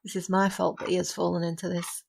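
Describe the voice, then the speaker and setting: A woman acting a centuries-old witch in an audio drama, firm but caring, speaking earnestly in formal, measured phrases. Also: on the low side, level tone, vocal fry, a little mellow, a little hiss or hum. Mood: remorseful.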